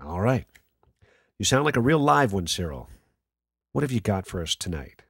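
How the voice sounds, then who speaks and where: Sardonic low-voiced male radio host, acted by a man. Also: big pitch swings, normal speed, creaky, husky, no room sound, heavily acted. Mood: wry, skeptical. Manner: sardonic, dry, challenging. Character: skeptical male late-night conspiracy radio call-in host. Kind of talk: radio play call-in show banter.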